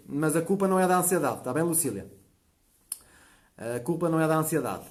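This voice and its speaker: A man in his forties with a European Portuguese accent, talking easily in a slightly high voice. He hosts a natural health live stream and chats through viewer comments. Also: a little mellow. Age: 40s. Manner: chatty, often checks in with a quick "tá?". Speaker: natural health channel host.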